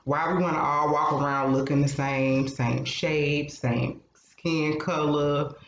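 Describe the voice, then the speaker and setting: A man's voice: fairly high pitch, steady tone, slow pace, a bit bright. A man co-hosting a casual podcast, giving frank, blunt advice and swearing freely. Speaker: podcast co-host. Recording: roomy, slightly dull sound with faint noise.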